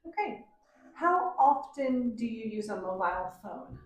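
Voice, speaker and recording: A woman acting as an English exam examiner, asking clear, deliberate questions to students. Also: a little high, sing-song. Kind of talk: mock speaking exam questions. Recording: echoey room, mic at a distance.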